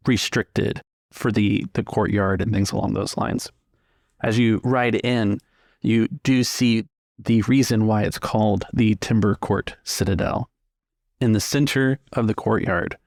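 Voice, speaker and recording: A man working as game master, narrating a tabletop roleplaying game in measured, halting descriptions with pauses. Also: on the low side, gravelly, no echo, studio quality.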